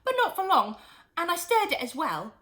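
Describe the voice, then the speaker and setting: A woman's voice: very high-pitched, sing-song, moderate pace, fairly bright tone, voice pushed up, heavily acted. A woman voicing an earnest, helpful young boy in a storybook, eager and matter-of-fact.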